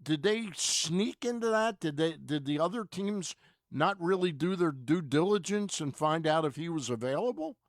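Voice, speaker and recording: A man hosting a sports talk show, asking casual interview questions in a halting way and repeating himself. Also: a little high, lively intonation, no room sound, clean studio sound.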